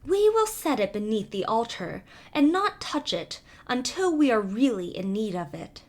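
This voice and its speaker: A woman narrating a storybook fairy tale from a script in an expressive, measured manner. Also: on the high side.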